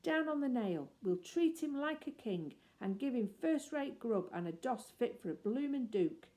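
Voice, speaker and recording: A woman voicing a rough, excitable working-class fairground stallholder in a storybook, with colloquial, wheedling talk. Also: fairly high pitch, unhurried, voice pushed up. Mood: excited, bargaining.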